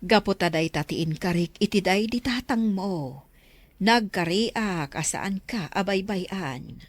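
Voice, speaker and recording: Woman acting a tender mother with expressive pitch. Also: slow, dry recording. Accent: Ilocano Filipino. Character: tender, concerned middle-aged mother. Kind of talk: Ilocano audio drama acting.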